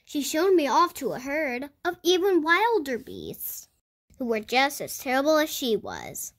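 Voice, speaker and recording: A woman reading a picture book aloud to children in an animated storytelling style. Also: high-pitched, a little slow. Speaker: children's storybook reader and host. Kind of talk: animated read-aloud narration for kids.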